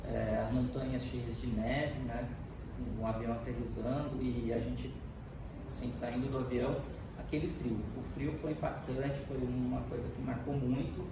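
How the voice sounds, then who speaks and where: A man's voice: medium pitch, little pitch movement, normal speed. A man with a Brazilian Portuguese accent answering interview questions as an exchange student, hesitant and full of fillers and restarts. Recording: echoey, distant mic, loud ambient noise.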